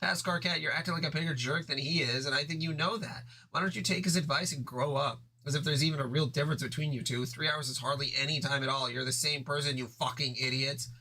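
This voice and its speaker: A man's voice: fairly high pitch, fast talker, few pauses, pushed and bright, poor audio. A man voicing an exasperated young girl who scolds sharply in a raised voice.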